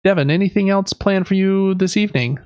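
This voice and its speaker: A man's voice: high-pitched, brisk, a little mellow, clean tone, dry recording, muffled recording. A man hosting a podcast in casual chat, rambling a little and correcting himself as he goes. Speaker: podcast host. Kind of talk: casual chat about video and board games.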